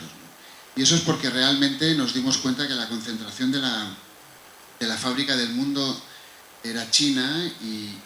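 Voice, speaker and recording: A man's voice: mid-range voice, sharp tone. A man from Spain with a Spanish accent, answering a question on a conference panel in a conversational, discursive way. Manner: conversational, discursive, with rhetorical asides. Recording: echoey room with faint noise.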